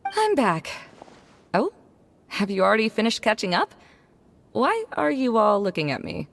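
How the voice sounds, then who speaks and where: A woman voicing a calm, steady young female adventurer in a video game. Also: on the high side, measured pace, heavily acted. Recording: game cutscene with clear background music.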